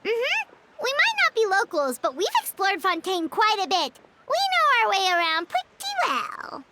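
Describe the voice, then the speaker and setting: A woman voicing a small, chatty, doubtful childlike girl fairy in a video game, with a squeaky voice. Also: huge pitch swings, a little slow, heavy background noise, exaggerated voice. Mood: chatty, explaining. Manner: talks of self in third person. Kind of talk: video game cartoon-style acting. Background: game music.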